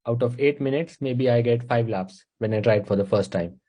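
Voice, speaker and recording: A young man with an Indian English accent talks through how he writes stand-up, step by step with plenty of uh's. His voice is mellow and dark, at a middle pitch for a man. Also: brisk. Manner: explains in steady steps, with frequent uh fillers. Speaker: stand-up comedian, interview guest. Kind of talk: casual interview chat.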